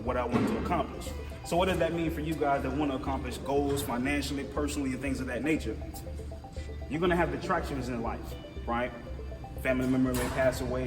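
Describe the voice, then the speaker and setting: Motivational speaker, man from Jamaica, casual storyteller who works the crowd; distant echoey hall recording. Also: medium pitch. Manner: energetic, casual storytelling, checks in with audience. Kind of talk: conference talk with call-and-response. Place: large conference hall.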